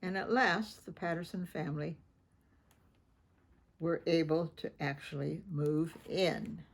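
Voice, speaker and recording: A woman's voice: sits low, fairly even intonation, slow pace, creaky. A woman reading a children's story aloud expressively, adding informal asides about the pictures. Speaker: children's picture book reader.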